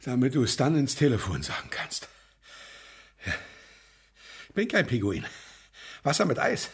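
A man playing a grumpy, suspicious older man in a children's radio play, gruff and scolding, with a raspy voice. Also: mid-range voice, huge pitch swings, normal speed, heavily acted. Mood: grumbling. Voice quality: husky, raspy.

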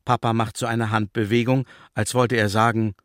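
A man's voice: on the low side, level tone, normal speed, dry recording, studio quality. A man narrating a children's radio play as a scripted storyteller.

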